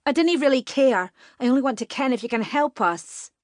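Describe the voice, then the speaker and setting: A woman voicing a proud young woman traveller, speaking with sharp, indignant retorts. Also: high-pitched, expressive, fairly quick, no echo, clean studio sound, pitched above the performer's own voice. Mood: irritated.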